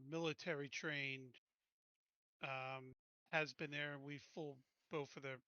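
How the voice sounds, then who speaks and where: A man takes part in a tabletop roleplaying game with casual, halting speech. His voice sits fairly high and has a bright edge. The sound is close and dry. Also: clean tone, dry recording, muffled recording.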